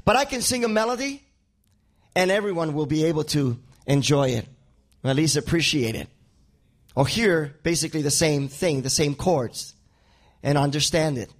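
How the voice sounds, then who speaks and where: A man's voice: fairly high pitch, normal speed. A male pastor, a native Spanish speaker with a Spanish accent, preaching a sermon and often repeating words as he builds a point.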